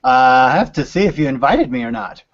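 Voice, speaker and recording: A man's voice: medium pitch, lively intonation, brisk, dull audio. A man speaking as a podcast guest in casual chat, with a wry, bantering manner. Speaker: podcast guest, author and teacher.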